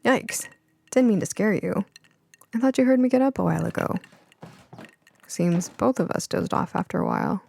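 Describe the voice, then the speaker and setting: A woman's voice: mid-range voice, huge pitch swings, moderate pace, creaky, long pauses, a little mellow, slight background noise, exaggerated voice. A woman voicing a kindly, cheerful older woman in an audiobook story, folksy and affectionate in manner. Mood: apologetic, light. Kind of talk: audiobook character dialogue.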